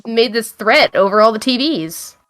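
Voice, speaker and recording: Girl acting cheery naive bounty hunter, high voice, gushy and a bit hesitant, tabletop rpg. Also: lively intonation, moderate pace, a bit bright. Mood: gushing, eager. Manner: gushing, hesitant, guileless. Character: naive, eager, cheery young woman bounty hunter and fan. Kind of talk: improvised tabletop game roleplay.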